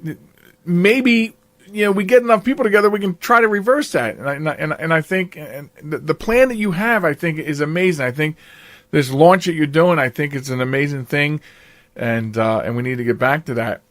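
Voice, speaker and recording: An older man hosts a casual interview show. His voice sits a little higher than most men's, and the recording is close and dry, with next to no room sound. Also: a little fast, no room sound. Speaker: podcast host.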